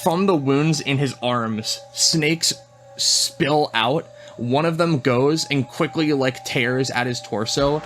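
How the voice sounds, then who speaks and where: A man describes his moves as a player in a tabletop game. His medium-pitched delivery is slow and halting, improvised as he goes. Faint music runs underneath. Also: fairly bright tone.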